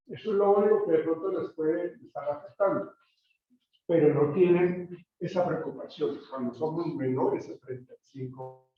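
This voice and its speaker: Colombian male lecturer in an echoey room. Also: on the high side. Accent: Colombian Spanish. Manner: conversational, frequent hesitations and tag questions. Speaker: university lecturer. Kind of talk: classroom lecture. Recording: roomy, distant, dull recording with faint noise.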